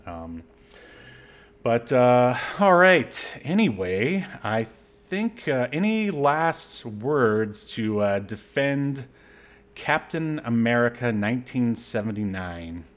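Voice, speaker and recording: A man's voice: mid-range voice, sing-song, slow pace. A man co-hosting a podcast, chatting casually about films in a wry, joking way. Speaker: podcast co-host. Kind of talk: casual movie-review chat.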